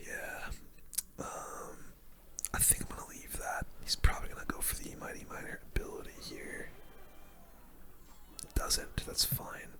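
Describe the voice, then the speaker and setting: A young man speaks entirely in a bright, breathy whisper, close to the mic. He gives ASMR gameplay commentary as host, casual and slangy, reacting to each move as he plays. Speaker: gaming video host.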